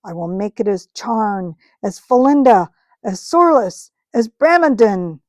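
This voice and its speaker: A woman doing a scornful, shouting witch. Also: mid-range voice, animated, a little slow, no echo, studio quality, natural voice. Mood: triumphant. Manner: commanding, scornful threats. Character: proud, cruel woman witch queen.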